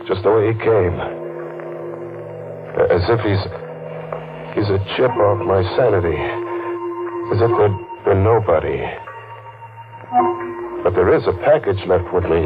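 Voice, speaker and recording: Haunted veteran narrator, low voice, tense and brooding, lo-fi old radio with music. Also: male voice. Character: haunted, jittery male war veteran. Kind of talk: 1940s radio acting.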